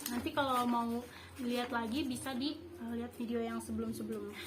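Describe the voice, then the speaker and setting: High-voiced young woman teaching informally. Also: fairly even intonation, noisy background. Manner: halting, improvised explaining with frequent self-corrections. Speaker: host teaching basic Japanese. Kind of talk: informal language review lesson.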